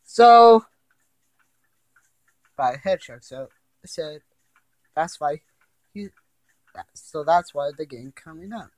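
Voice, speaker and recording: Male amateur creepypasta narrator, slightly high-pitched, slow, rambling, repetitive, halting, dry sound. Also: expressive, dry recording, rough recording. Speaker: amateur storyteller reading a creepypasta. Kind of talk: informal horror storytelling. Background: ticking and chiming clock.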